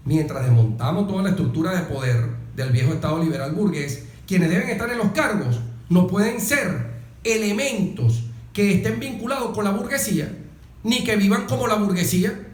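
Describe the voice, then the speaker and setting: A man's voice: high-pitched, expressive, moderate pace. A Venezuelan man giving political commentary as an interview guest, speaking in an emphatic, didactic way with repeated phrases and rhetorical questions. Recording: echoey room.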